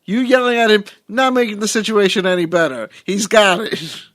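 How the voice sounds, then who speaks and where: A man's voice: high-pitched, moderate pace, fairly bright tone, no echo, studio quality. A man co-hosting a podcast in casual movie-review chat, blunt and incredulous, swearing freely. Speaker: podcast co-host.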